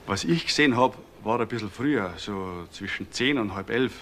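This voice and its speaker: A man plays a talkative bassist giving witness in a crime radio drama. There is faint ambient noise behind him. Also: normal speed, a bit bright, natural voice. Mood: circumspect. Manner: colloquial, chatty, circumspect. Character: talkative male band bassist, reluctant witness.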